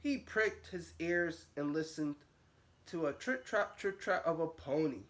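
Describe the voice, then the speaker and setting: A man's voice: fairly high pitch, expressive, measured pace, a bit bright. Guy teacher reading a storybook to little kids, kinda sing-song up and down. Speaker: nursery school teacher reading aloud. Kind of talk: storybook read-aloud for young children.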